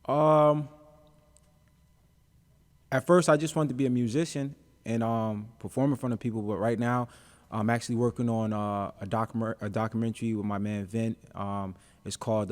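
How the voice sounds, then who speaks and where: Laid-back young man, lowish voice. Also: fairly even intonation, moderate pace. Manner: laid-back, easygoing, many fillers like um and uh. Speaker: rapper, interview guest. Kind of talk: casual interview chat.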